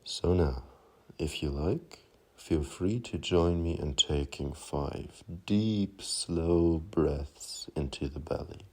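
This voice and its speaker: A man leading a guided meditation in a soft, calming, unhurried manner. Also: a bass voice, husky.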